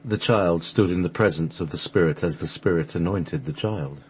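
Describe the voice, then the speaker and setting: Man, fairly deep, husky, solemn measured narration, radio play reading. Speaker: radio show host and narrator.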